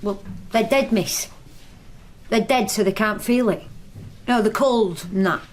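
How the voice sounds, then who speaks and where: A woman's voice: mid-range voice, a little slow, slight background noise, lightly acted. An actress playing a bored, blunt teenage British schoolgirl in a naturalistic radio drama.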